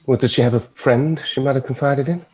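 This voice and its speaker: A suave British devil played by a man in a table read, arrogant and drily witty. The voice sits at a middle pitch, urbane and sardonic. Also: fairly even intonation, fairly quick, a little mellow, husky, lightly acted, rough recording. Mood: cool, probing. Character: suave, arrogant male devil with dry wit.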